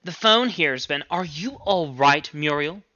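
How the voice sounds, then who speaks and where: A man's voice: fairly high pitch, expressive, unhurried, sharp tone, no room sound, dull audio. A man voicing an anxious, overwrought middle-aged mother in an audiobook story, fretful and emphatic as she scolds. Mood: worried, insistent.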